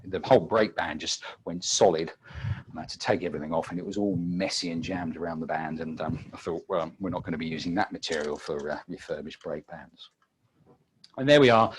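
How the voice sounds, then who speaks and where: A British man giving an unscripted technical demonstration as a webinar presenter, halting often with repeated words and filled pauses. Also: deep voice, slight background noise. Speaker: webinar presenter and audio engineer.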